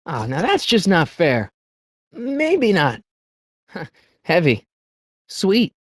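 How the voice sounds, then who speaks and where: A man's voice: sits high, huge pitch swings, extremely slow, fairly bright tone, dry recording, exaggerated voice. A male actor playing an earnest, wisecracking teenage boy in a video game, with a youthful voice and a hesitant, slangy delivery. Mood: wry, doubtful. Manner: hesitant, stammering asides, wry slangy delivery. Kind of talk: video game character lines.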